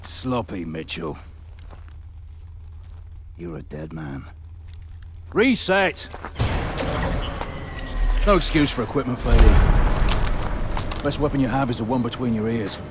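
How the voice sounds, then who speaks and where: An actor playing a tough, demanding military instructor who speaks in curt barks in a game cutscene. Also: male voice, mid-range voice, sing-song, slow pace, long pauses, background noise. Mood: harsh, critical. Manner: barking, curt. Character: tough male combat drill instructor, blunt, demanding.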